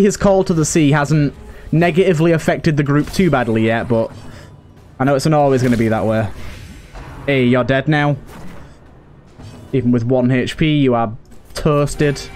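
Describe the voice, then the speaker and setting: Higher-pitched man chatting over game music. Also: darkish tone. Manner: chatty, thinking aloud, talks to characters. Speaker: host of a video game let's play. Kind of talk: casual live gameplay commentary.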